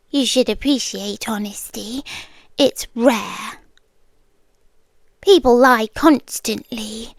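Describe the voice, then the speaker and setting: A British woman playing a possessive, calmly menacing yandere girlfriend in an intimate ASMR roleplay, breathy and often dropping to a whisper. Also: high-pitched, no echo, heavily acted. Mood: possessive, insistent. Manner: slow, deliberate, breathy, drifting into whispers.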